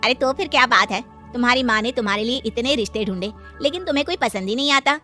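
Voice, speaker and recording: A woman acts a meddling female relative in a moral-story cartoon. The voice is very high and bright, with light music behind. She needles in a pointed, busybody way. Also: fast talker. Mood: taunting. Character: meddling, taunting women of family and neighbourhood.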